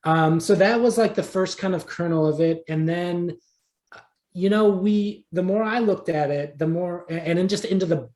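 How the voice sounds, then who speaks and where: A man's voice: high-pitched, moderate pace, a little mellow. A man being interviewed as a writer in a casual podcast chat, thinking aloud and rephrasing as he goes. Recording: roomy, echoey sound.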